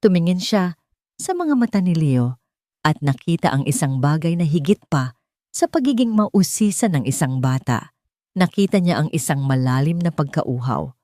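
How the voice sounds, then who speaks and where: A woman's voice: low-pitched, warm tone, no echo, clean studio sound. A woman narrating an audiobook in a dramatic, scripted storytelling style.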